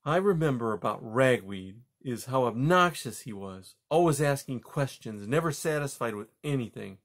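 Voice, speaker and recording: A man's voice: medium pitch, lively intonation, normal speed. Man putting on a prim, disapproving aunt mouse. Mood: critical.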